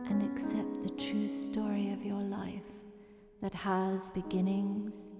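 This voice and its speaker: A woman reading poetic narration in a gentle, solemn, meditative manner, measured and pausing between phrases. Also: mid-range voice. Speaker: poet reading own work. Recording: long echoing reverb with music behind. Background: music.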